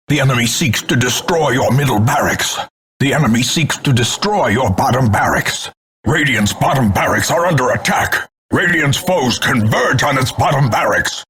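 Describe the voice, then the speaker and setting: An actor playing a grim, scornful older male announcer in a video game, grandiloquent and portentous, with a gravelly, husky voice. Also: mid-range voice, a bit bright, a little hiss or hum, lightly acted. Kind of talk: theatrical scripted game announcements.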